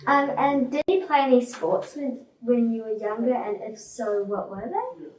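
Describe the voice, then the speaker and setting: Kiwi student girl asking questions, a bit high, echoey room. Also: animated, moderate pace, darkish tone. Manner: polite and hesitant, starting with um. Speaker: student interviewer. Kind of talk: reading prepared interview questions. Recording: roomy sound from far off the mic.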